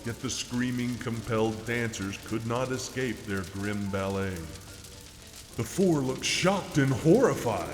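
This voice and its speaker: A man giving a dramatic reading of a horror poem as narrator, in a theatrical, deliberate, rhyming delivery. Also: medium pitch. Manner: theatrical, deliberate, measured in rhyme. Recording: echoey reverberant hall effect, faint music. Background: faint music.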